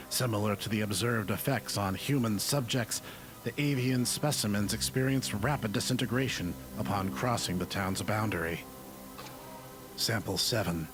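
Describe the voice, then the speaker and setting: A man playing a detached researcher, narrating grim lab findings as a formal, measured horror report. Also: lowish voice, close to an everyday voice. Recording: voice-over under clear background music. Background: music.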